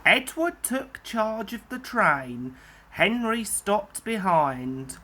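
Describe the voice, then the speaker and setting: A woman's voice: medium pitch, sing-song, very slow, fairly bright tone. A woman reading a children's story aloud as scripted narration.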